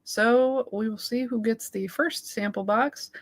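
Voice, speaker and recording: A woman with a fairly high voice chats casually on a livestream. She laughs often and keeps thanking viewers by name. Her voice is wearing thin and growing hoarse as she talks. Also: unhurried. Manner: giggly, chatty, reads out viewer comments. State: losing her voice. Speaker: livestream co-host.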